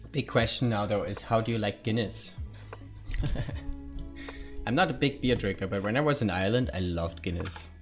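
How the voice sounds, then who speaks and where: A young Hungarian man with a deep, low voice chats loosely with his viewers as he plays, leaning on fillers like "like" and "right". Soft music plays faintly under his talk. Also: moderate pace, long pauses. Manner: chatty, answers viewer chat, many fillers. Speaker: streamer. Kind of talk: casual chat while gaming.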